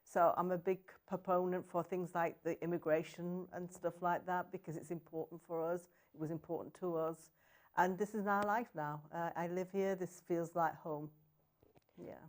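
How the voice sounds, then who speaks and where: Low-voiced woman with a Sheffield accent. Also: fairly even intonation, moderate pace, a little mellow. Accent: Sheffield English. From northern England. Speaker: guest, city economic development director. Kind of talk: casual interview chat.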